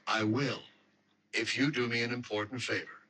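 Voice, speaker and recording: A man's voice: mid-range voice, fairly even intonation, moderate pace, pushed and bright, slightly rough. A man playing an exasperated, insistent young American fiancé in a radio mystery drama, urgent and commanding, at times pleading. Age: young adult.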